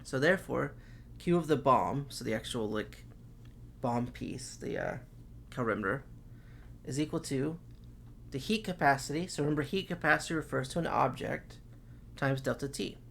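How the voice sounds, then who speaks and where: Gravelly-voiced man losing his voice. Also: medium pitch, normal speed, long pauses, fairly bright tone, slight background noise. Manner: talks through problems step by step, saying okay often. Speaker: chemistry teacher. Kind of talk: recorded classroom lecture.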